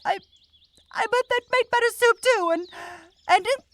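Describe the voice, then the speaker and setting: A woman's voice: very high voice, moderate pace, a bit bright, no room sound, voice pushed up. A woman voicing a sweet, clumsy forest witch in a fantasy ASMR roleplay, stammering and hesitant as she talks. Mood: flustered relief. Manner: stammering, hesitant, breaks off and repeats words.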